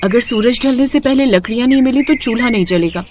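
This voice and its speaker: A woman's voice: a little high, fast talker, noisy background, close to an everyday voice. A woman voicing a poor, orphaned young village woman, earnest, plaintive and polite, in a cartoon moral story. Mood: determined.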